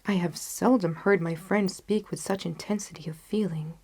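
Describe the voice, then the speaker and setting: Measured woman narrating a story. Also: on the low side, fairly even intonation, darkish tone, husky. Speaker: audiobook narrator.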